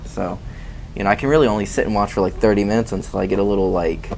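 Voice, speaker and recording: A man's voice: on the low side, very fast, creaky, darkish tone, a little hiss or hum, rough recording. A man, a musician guest, chatting casually in an interview, rambling with many fillers.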